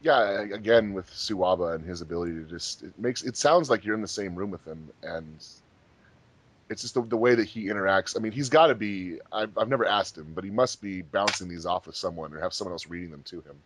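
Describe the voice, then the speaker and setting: A man's voice: low-pitched, slight background noise. A man joking and chatting animatedly on a fan commentary track. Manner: animated, joking, quick asides. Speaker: fandub member. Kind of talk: casual commentary chat.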